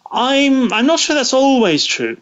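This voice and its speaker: A man being interviewed, explaining history conversationally in a hesitant, halting way with frequent pauses mid-phrase. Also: extremely high pitch, big pitch swings, a bit bright, smooth, muffled recording. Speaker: guest, interviewee.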